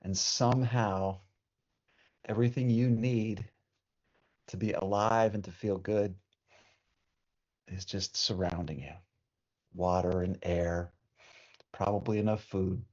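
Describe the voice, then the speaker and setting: A man with a fairly low voice guides a meditation in a soothing, unhurried manner. He leaves long pauses between phrases, like a teacher leading breathing practice. The recording is somewhat dull and lo-fi. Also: steady tone, a little mellow. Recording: dull, muffled lo-fi sound.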